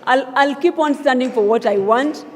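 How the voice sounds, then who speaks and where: A woman's voice: sits high, moderate pace. Young woman giving an emphatic speech in a reverberant hall. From South Sudan. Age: young adult. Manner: earnest and emphatic, rising to calls of thanks. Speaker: newly elected student association leader. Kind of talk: acceptance speech at a swearing-in ceremony. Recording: long reverb of a large hall.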